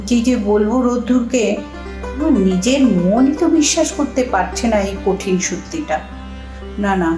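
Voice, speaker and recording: A woman playing a troubled, protective elder sister in an audio drama, with emotional, expressive delivery. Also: medium pitch, close to an everyday voice. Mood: troubled, resolute. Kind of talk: Bengali audio drama acting. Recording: echoey room, distant mic, music bed.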